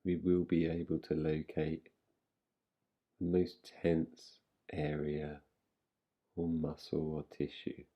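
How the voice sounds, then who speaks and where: A man guiding a relaxation meditation in an unhurried, soothing way, giving gentle instructions with long pauses. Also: very deep voice, monotone, dull audio. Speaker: meditation guide. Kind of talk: guided relaxation meditation, yoga nidra.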